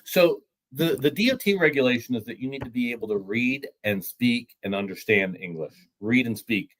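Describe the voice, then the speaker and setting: A man's voice: mid-range voice, moderate pace, fairly bright tone. A man appearing as a guest on a talk show, explaining things in a matter-of-fact way with occasional stammered restarts. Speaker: trucking recruiting executive, guest. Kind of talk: podcast discussion.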